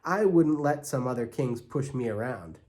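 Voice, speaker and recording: A man acts out a rough young storybook boy. He is defiant and pushy, speaking bluntly at an everyday pitch. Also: lively intonation, moderate pace, darkish tone, lightly acted.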